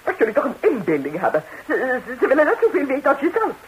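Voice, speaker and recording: Flustered, animated nosy busybody lady. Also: high voice, fast talker, husky, muffled recording, exaggerated voice. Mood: indignant. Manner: flustered, stammering, repeating herself. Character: nervous, nosy, talkative married lady, indiscreet busybody visitor. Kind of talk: 1960s radio comedy acting in Dutch. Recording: hissy 1960s radio recording.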